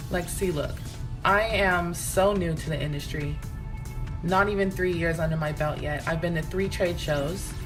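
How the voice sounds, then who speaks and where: A young woman talking earnestly and casually in a personal contest audition video. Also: on the low side. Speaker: nail artist, contest entrant. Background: music.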